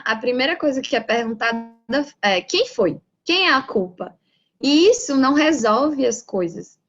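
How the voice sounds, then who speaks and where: A woman from Bahia with a Bahian Brazilian accent, giving a lecture on management and often adding rhetorical tag questions. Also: on the high side. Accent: Bahian Brazilian Portuguese. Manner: explanatory, frequent rhetorical "né?" tags. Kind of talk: online lecture. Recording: dull lo-fi audio.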